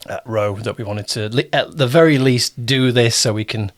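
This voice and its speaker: A man's voice: mid-range voice, moderate pace. A man hosting a casual interview as a producer, talking informally and often rephrasing himself as he goes.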